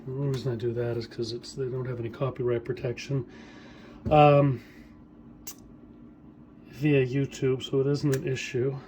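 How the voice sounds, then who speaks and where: A middle-aged man giving a casual hands-on demonstration of equipment he repairs, speaking off the cuff with hesitant pauses as he works. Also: mid-range voice, little pitch movement, dark tone, a little hiss or hum. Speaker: hobbyist audio equipment repairer demonstrating a tape deck.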